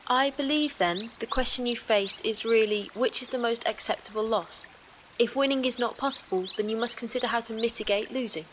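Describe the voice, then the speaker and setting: A woman playing a calm, analytical alien companion in a sci-fi audio drama, speaking in a measured, formal, literal way. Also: on the high side, noisy background, natural voice. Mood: logical, measured.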